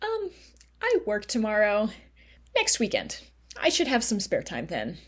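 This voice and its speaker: A woman's voice: mid-range voice, huge pitch swings, unhurried. A woman playing a blustering but easily spooked young friend in an audio play. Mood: sheepish, nervous.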